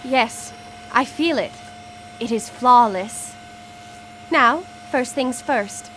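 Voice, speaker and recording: Confident artificial woman, fairly high voice, measured formal delivery, radio drama, faint noise. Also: a bit bright, low-quality recording. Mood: coolly satisfied. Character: artificial female body controlled by a scientist, poised and confident. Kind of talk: live radio drama acting.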